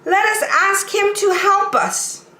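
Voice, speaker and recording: High-pitched little toy clown, played by a woman, eager, pleading, children's storybook, roomy echo. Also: takes it slowly, exaggerated voice. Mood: excited, hopeful. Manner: excited, pleading. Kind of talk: children's storybook read-aloud.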